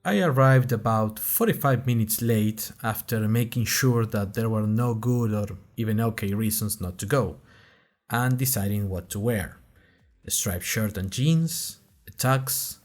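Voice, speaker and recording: A man's voice: medium pitch, unhurried, soft background music, close to an everyday voice. A man playing a wry college freshman who narrates his audio diary in a dry, conversational podcast style with hesitant asides. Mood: self-deprecating. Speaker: podcast host character.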